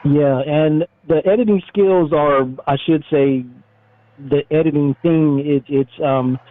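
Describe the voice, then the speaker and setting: A middle-aged American man talks casually over a phone line. The sound is thin and narrow, with very little room on it. His voice sits at an ordinary male pitch and his manner is easygoing and informal. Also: no echo. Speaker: interviewed motorcycle YouTuber, retired military. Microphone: phone call.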